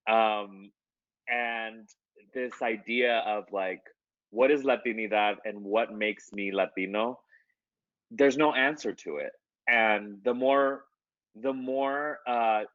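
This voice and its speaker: A man's voice: mid-range voice, no room sound, dull audio. A man, an artist, answering interview questions in a loose, conversational way, with many fillers and restarts. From United States.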